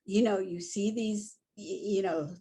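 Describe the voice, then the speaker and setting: An older woman from Hawaii, a guest in an interview, telling stories in an animated way and laughing easily. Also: medium pitch, a little slow, breathy edge. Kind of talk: casual interview.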